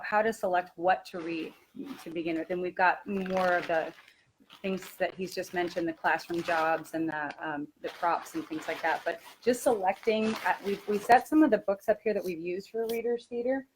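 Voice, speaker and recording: A woman's voice: lowish voice, slight background noise, rough recording. A woman who teaches languages, explaining classroom methods informally and conversationally as she thinks aloud. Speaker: language teacher presenting a webinar.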